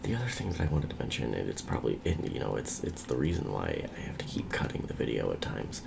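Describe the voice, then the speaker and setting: A young man giving soft, rambling, half-whispered game commentary, nasal from a cold. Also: very deep voice, big pitch swings, fast talker, vocal fry. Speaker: let's play host. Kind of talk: whispered ASMR gameplay commentary. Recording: loud background hiss.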